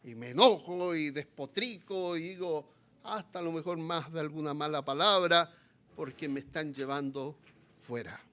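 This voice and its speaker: A man with a Chilean accent, preaching a sermon as pastor, swinging between loud, impassioned appeals and quiet, low passages. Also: moderate pace.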